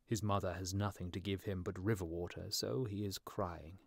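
A man voicing a gentle, sorrowful statue of a dead prince in a storybook, musical and mournful. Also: fairly even intonation, creaky, no echo, studio quality. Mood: pitying, pleading. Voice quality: low, musical. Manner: slow, gentle, mournful, pleading.

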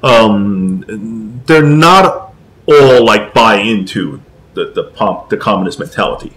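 Guy from taiwan raised in the us, kinda low voice but really up and down, rambly interview chat. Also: lively intonation, a bit bright, poor audio. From Taiwan, moved to America at nine. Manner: rambling, halting, repeats phrases. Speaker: interviewee, comic book creator. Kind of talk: casual conversational interview. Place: small room with posters, indoors. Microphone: desk condenser microphone with pop filter.

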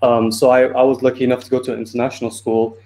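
Man, 20s, student presenter from Turkey. Medium pitch, fairly flat intonation, informal webinar talk with frequent filled pauses. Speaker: student speaker. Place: indoor room on a video call. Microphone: video call microphone.

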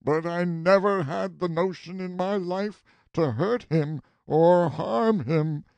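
A man voicing an anguished, bitter old farmer confessing to murder in drawn-out, impassioned rustic speech for a storybook. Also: high voice, creaky, a little mellow, no room sound, muffled recording, heavily acted. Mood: grim confession.

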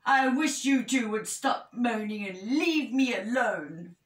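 Little storybook bear cub, woman performer: high-pitched, plaintive, grouchy, heard from a distance in a roomy space. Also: expressive, takes it slowly, a bit bright, heavily acted. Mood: cross. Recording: roomy echo, distant microphone.

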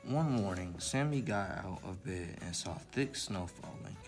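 A man's voice: low-pitched, lively intonation, a little slow, over music. A man hosting a library story time, presenting to children in the manner of a read-aloud and simple how-to.

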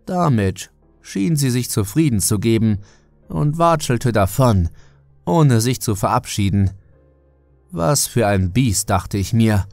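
Male creepypasta narrator: slow delivery, medium pitch, dry close sound over soft music. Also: expressive, creaky, no echo. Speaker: narrator of a horror audiobook. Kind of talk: first-person scripted narration, creepypasta.

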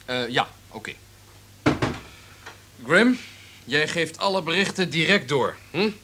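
An actor playing a flustered, indecisive radio executive in a Dutch radio drama, agitated, hesitant and repeating himself. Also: male voice, a little high, expressive, takes it slowly, background noise. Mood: hesitant, uneasy.

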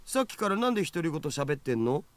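An actor playing a glib young otaku hacker in a visual novel, with a teasing, slangy manner. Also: male voice, on the high side, very animated, rapid-fire, no echo. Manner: flippant, slangy. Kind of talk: Japanese visual novel acting.

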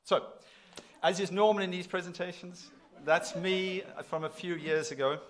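A man's voice: sits high, steady tone, normal speed, crowd noise. Middle-aged man giving a lecture. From South Africa. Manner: conversational, emphatic, repeating phrases for effect. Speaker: institution president giving inaugural address. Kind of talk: public lecture to an audience. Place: lecture hall, at a podium.

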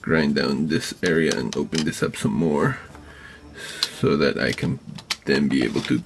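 A man hosting a craft tutorial, talking informally and haltingly as he thinks aloud while working. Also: very deep voice, sing-song, vocal fry, a little hiss or hum. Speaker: DIY maker and video host. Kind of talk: casual hands-on tutorial narration. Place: indoor craft workbench.

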